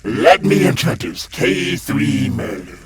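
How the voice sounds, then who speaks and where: A man's voice: mid-range voice, wildly swooping pitch, takes it slowly, noisy background. A man playing a glib, sleazy demon guide of hell in a comic dub, full of slangy, theatrical sales patter. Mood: gleeful, mocking. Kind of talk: comic-book dub voice acting. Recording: loud music under the voice. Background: prominent music.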